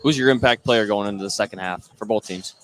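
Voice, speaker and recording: A man's voice: lowish voice, quick pace. A man calling live basketball play-by-play from beside the bleachers in a gym. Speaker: play-by-play announcer. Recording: clear gym noise behind voice. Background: gym ambience.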